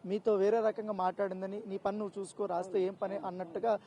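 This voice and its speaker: A woman's voice: mid-range voice, little pitch movement, quick pace, dark tone. A woman interviewing for a news channel, asking probing questions mixed with English words. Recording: faint background ambient noise.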